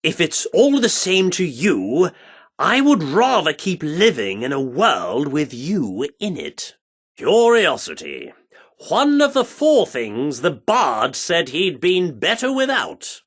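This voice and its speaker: An actor playing a grandiloquent, self-important male theatre director in a video game, speaking in archaic flourishes with dramatic pauses. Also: on the high side, wildly swooping pitch, husky, heavily acted. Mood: earnest, courteous.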